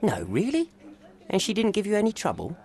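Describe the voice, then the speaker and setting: Wry, chatty desk cop, animated delivery. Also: male voice, high voice, a little fast, exaggerated voice. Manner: wry, talkative. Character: chatty overworked police desk officer. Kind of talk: video game voice acting.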